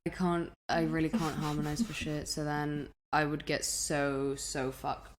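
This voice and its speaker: A woman's voice: low voice, little pitch movement, measured pace, background noise. A woman co-hosting a podcast over a remote connection, chatting casually, laughing often and swearing freely. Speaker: podcast co-host. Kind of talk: casual chat. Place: in Italy, remote recording.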